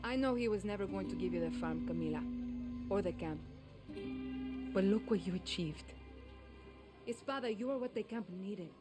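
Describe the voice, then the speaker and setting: A woman's voice: medium pitch, moderate pace, pauses often, music in the background, close to an everyday voice. An actress playing a resolute female revolutionary leader in a game cutscene, speaking in a firm, persuasive way. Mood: earnest. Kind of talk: video game cutscene acting.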